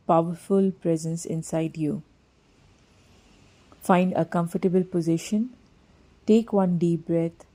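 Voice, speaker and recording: A woman narrating a scripted guided meditation in a soothing, gently measured manner. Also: on the low side.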